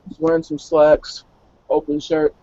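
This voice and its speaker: A man's voice: fairly high pitch, monotone, measured pace, mellow, dry recording. A man chatting casually as a player in a tabletop roleplay session. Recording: dull, dry online call audio.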